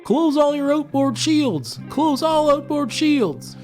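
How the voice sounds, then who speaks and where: A reader playing a brisk, dutiful military officer in an amateur table read of a space film. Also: sits high, very animated, darkish tone, faint music behind, heavily acted. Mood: barking orders.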